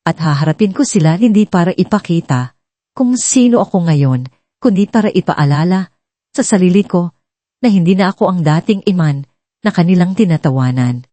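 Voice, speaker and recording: A woman voicing a humble, quietly resolute self-made millionaire in a dramatic story, earnest and deliberate. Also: lowish voice, animated, no room sound. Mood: reflective, determined.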